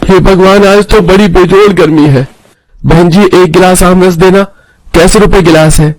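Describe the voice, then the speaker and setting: A man's voice: sits high, fairly even intonation, normal speed, no room sound, voice pushed up, lightly acted, low-quality recording. A doubtful male customer who questions the seller played by a man telling a family story. The voice is lifted well above ordinary male speech. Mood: hot, inquiring. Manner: questioning, haggling. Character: skeptical male customer at a juice stall.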